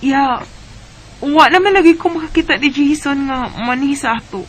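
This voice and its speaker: A woman acting a lovestruck, shy younger sister in a Bisaya radio drama, with a plaintive, expressive delivery and a Filipino Bisaya accent. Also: high voice, normal speed, slight background noise, close to an everyday voice. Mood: sad, plaintive.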